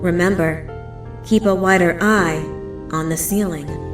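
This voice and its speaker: A woman playing a composed facility announcer, narrating an employee safety video in a horror game with measured, formal delivery. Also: on the low side, fairly even intonation, natural voice. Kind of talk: scripted corporate training narration in a horror game. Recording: echoey, distant, music underneath. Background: music.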